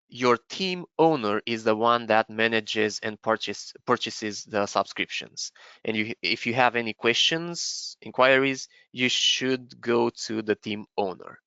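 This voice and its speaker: A man from Romania, presenting a live software webinar and explaining features step by step with frequent fillers and restarts. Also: medium pitch, vocal fry, clean tone, no echo, lo-fi sound. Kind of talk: live webinar product demo.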